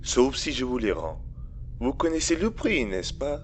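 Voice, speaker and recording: An actor playing a cold, scheming male villain who blackmails with smooth, taunting, deliberate speech in an audio drama. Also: mid-range voice, big pitch swings, a little hiss or hum.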